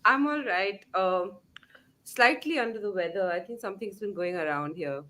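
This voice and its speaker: A woman co-hosting a casual livestream chat, with a slight sore throat, relaxed and laughing easily. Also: medium pitch, moderate pace, crowd noise. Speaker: co-host.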